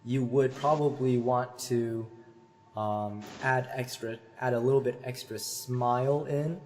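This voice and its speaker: A man, a voice teacher on a video call through a headset, explaining singing technique with many fillers and pauses. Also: medium pitch, steady tone, darkish tone, a little hiss or hum. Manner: thoughtful, hesitant with many fillers, demonstrates sung vowels.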